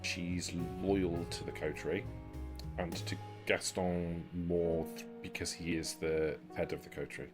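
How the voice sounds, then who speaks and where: A man playing a shrewd, self-serving vampire negotiator in tabletop roleplay, speaking formally and deliberately with halting pauses. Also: sits low, level tone, over music. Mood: calculating, candid. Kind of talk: in-character tabletop roleplay dialogue.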